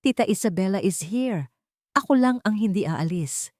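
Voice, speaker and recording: A woman's voice: medium pitch, expressive, moderate pace, darkish tone, no echo, studio quality, close to an everyday voice. A woman voicing a cold, condescending rich fiancée who talks in sugary, manipulative phrases, mixing English and Tagalog, in an audio drama. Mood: coldly coaxing.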